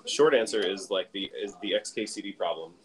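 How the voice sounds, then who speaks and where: A man's voice: fairly low pitch, low-quality recording. Casual man chatting at a tech meetup. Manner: chatty, quick asides, laughs often. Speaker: session host running a live stream. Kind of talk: casual chat. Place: conference room at a tech camp.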